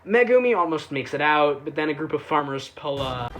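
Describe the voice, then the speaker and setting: Casual recap narrator, sarcastic, irreverent, sweary, highly animated pitch. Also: fairly quick, slight background noise. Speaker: YouTube anime reviewer.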